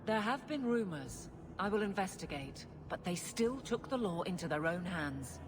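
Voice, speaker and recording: Brief: no-nonsense guard captain, slightly low, firm, game audio with noisy ambience. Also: female voice, big pitch swings, moderate pace. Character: stern female guard captain, dutiful.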